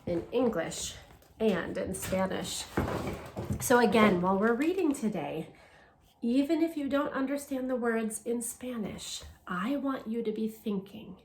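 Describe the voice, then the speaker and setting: A woman teacher reading aloud to young children in a simple, deliberate, encouraging way, often posing questions to them. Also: on the high side, darkish tone, slight background noise, low-quality recording. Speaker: elementary school language teacher. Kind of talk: children's read-aloud lesson.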